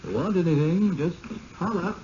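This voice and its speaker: Obliging country servant, soft mellow voice, plain talker, old radio play, muffled noisy audio. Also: male voice, high-pitched, animated, moderate pace. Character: obliging, plain-spoken male live-in servant. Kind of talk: 1970s radio drama acting.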